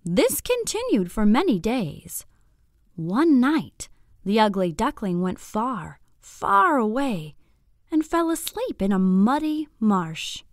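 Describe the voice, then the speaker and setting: A woman narrating a scripted bedtime story for young children in an expressive, deliberate, clearly phrased way. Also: on the high side, no echo, studio quality.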